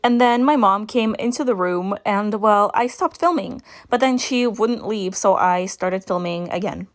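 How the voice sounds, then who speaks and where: A young woman with a raspy voice, narrating a makeup tutorial in a chatty voice-over with storytelling asides. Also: medium pitch, unhurried. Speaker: makeup vlogger.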